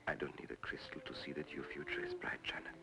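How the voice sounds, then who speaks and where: A man playing a suave phony spiritualist in a 1940s film noir, speaking in a measured, theatrical way. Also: a bass voice, huge pitch swings, exaggerated voice, rough recording. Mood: weary, tender. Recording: old film soundtrack with loud orchestral music.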